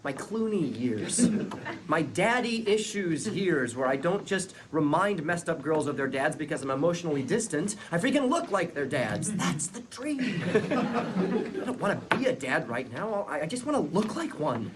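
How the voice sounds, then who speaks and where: A man's voice: on the high side, very animated, normal speed. A man playing a vain middle-aged womanizer, melodramatically lamenting his lost youth at a comedy script read. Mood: self-pitying, melodramatic. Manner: melodramatic, theatrical. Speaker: actor at a sitcom table read. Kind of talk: comedic script read aloud before an audience. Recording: noisy live room with audience.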